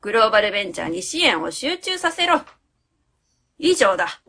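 A woman's voice: medium pitch, big pitch swings, moderate pace, pushed and bright, exaggerated voice. A woman playing a pompous, self-assured consultant who gives commanding advice in a web radio drama.

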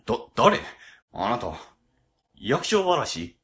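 A man's voice: medium pitch, wildly swooping pitch, slow, fairly bright tone, heavily acted. A man playing a timid, blustering middle-aged section chief in a comedic radio drama, flustered and pompous.

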